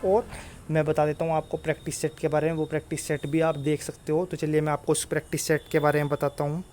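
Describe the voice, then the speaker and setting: A man's voice: fairly high pitch, completely flat delivery, fast, a little mellow. A man giving an informal exam update to students in an insistent, repetitive way. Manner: repetitive, insistent, often tags phrases with "okay". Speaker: exam-news YouTuber and coach.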